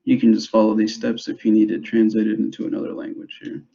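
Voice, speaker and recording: Low, gravelly young man, halting delivery. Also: completely flat delivery, mellow. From grew up in the United States, born in El Salvador. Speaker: school substance abuse prevention specialist. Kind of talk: informal video presentation to parents. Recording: dull, muffled lo-fi sound.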